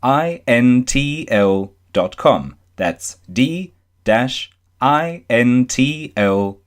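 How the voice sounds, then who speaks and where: A man reading a news article aloud as scripted narration, in a measured, formal manner. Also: mid-range voice, animated, clear, resonant tone.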